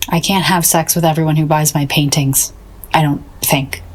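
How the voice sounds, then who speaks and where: Low-voiced, disillusioned woman painter. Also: fairly even intonation, normal speed, husky. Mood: resigned. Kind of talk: audio drama dialogue.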